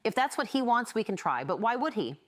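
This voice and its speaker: Female reader as upbeat audiobook sidekick woman, cooing and chirping, high and very animated. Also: a little fast, no echo, studio quality. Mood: breezy, reassuring. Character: chirpy, cheerful, reassuring adult female soldier comrade.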